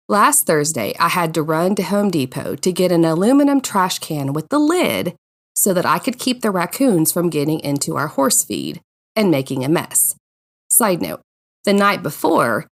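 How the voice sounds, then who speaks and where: A woman hosting a podcast, telling personal stories from a script in an expressive, conversational way. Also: on the low side. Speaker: podcast host.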